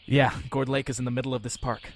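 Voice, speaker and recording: A man's voice: mid-range voice, level tone, fast, slight background noise, lightly acted. A man voicing a wry, slightly flustered young defense lawyer with a dry, hesitant delivery in an audio drama of a video game story. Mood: matter-of-fact.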